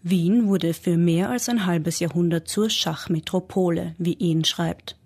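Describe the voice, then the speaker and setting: A woman reporter giving measured, scripted documentary narration. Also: fairly low pitch, darkish tone, husky. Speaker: radio reporter.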